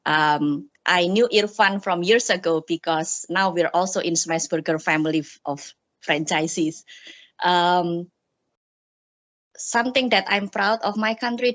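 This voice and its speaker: This is a woman from Indonesia speaking as a guest at a roundtable, her voice sitting a little low. She talks in a halting way, stopping often for ums and uhs. The recording is muffled and lo-fi. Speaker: franchise owner, roundtable guest. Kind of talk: casual roundtable storytelling.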